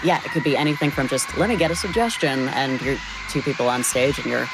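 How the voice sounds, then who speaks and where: A young American woman with a low voice, talking casually and informally as an interview guest, often saying "like". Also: fast, heavy background noise. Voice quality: low-pitched voice. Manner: informal, chatty, heavy use of "like". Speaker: voice actor being interviewed. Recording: music playing behind the voice. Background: background music.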